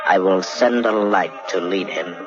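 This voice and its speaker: A man performing as the solemn, measured narrator of a legend in an old radio drama. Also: low voice. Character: solemn male storyteller of an old Japanese legend. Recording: dull vintage radio recording, loud music.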